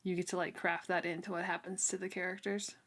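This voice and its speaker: A woman's voice: lowish voice, little pitch movement, quick pace, fairly bright tone. A woman co-hosting a casual book club podcast, rambling and laughing often, with plenty of "um" and "like". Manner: giggly, rambling, many "um"s and "like"s. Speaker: podcast co-host.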